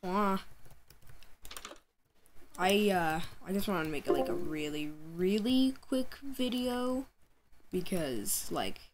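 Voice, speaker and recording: A woman's voice: mid-range voice, expressive, slow, long pauses, slight background noise. A young woman chatting playfully through her gameplay, her voice tired late at night, with yelps when she panics. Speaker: gaming channel host. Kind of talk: casual gameplay commentary. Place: home room at night.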